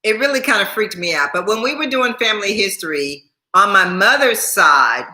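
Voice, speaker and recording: Chatty woman in her sixties, bright voice. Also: mid-range voice, animated, moderate pace, echoey room, poor audio. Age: 60s. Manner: chatty, repeats herself, laughs often, thanks viewers by name. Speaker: YouTube host. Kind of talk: casual livestream chat with viewers.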